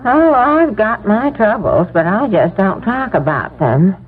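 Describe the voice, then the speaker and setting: A woman's voice: medium pitch, sing-song, unhurried, narrow, tinny audio. An actress playing a self-pitying, complaining old American mother-in-law in a 1970s radio drama. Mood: peevish, wounded. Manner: indignant, complaining. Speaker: radio actor. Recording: thin, noisy old radio broadcast.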